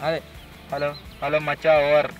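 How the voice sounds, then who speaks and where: A man's voice: fairly high pitch, completely flat delivery, moderate pace, highs cut off, faint music behind. A man with a Telangana Telugu accent hosting a vlog in casual, slangy, bantering chat. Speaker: video host.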